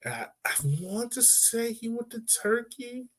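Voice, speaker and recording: A man co-hosting a podcast, talking football in a loose, animated way with lots of fillers and restarts. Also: very high-pitched, unhurried. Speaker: podcast co-host. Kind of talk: casual sports chat.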